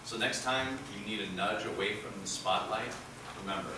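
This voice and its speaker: A man's voice: lowish voice, normal speed. A man reading a script dramatically, in the declamatory manner of a preacher. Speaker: reader in a readers' theatre group. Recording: echoey hall, distant mic, loud noise.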